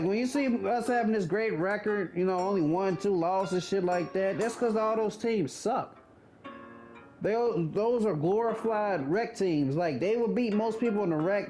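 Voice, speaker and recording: A man's voice: high-pitched, a little mellow, rough recording. A thirty-year-old man giving laid-back, blunt gaming commentary, swearing casually.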